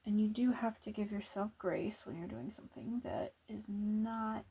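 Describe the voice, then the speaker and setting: A woman artist chatting softly in an ASMR video, thinking aloud about her work as she draws. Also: mid-range voice, steady tone, vocal fry, slightly rough. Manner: thinking aloud, unhurried and self-reflective. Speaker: artist and channel host. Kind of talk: soft-spoken ASMR chat while drawing.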